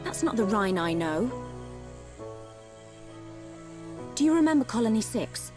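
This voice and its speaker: An actress playing a steadfast, caring young woman soldier with a British accent, speaking in an earnest, measured way in a video game. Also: on the high side, sing-song. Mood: reproving, gentle. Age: young adult. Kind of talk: video game cutscene acting. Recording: game music behind the voice. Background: game soundtrack music.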